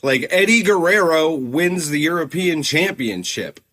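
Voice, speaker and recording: Podcast co-host, man in his thirties, excitable and lively, chatty, swears freely. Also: a little high, unhurried. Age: 30s. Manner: excitable, swears, many likes. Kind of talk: casual chat.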